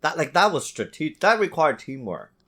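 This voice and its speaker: A man's voice: fairly high pitch, sing-song, moderate pace, bright, forceful tone, slightly rough. A man co-hosting a podcast, talking casually about video games. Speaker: podcast co-host.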